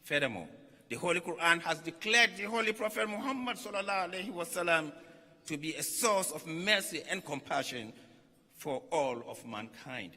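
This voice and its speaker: Guy reading out a solemn religious speech, really sharp forceful voice, big reverby hall. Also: fairly high pitch, expressive. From Ghana. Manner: emphatic, solemn oratory with deliberate phrasing and repeated lines. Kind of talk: formal religious address read aloud from a text. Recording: echoey hall with long reverb.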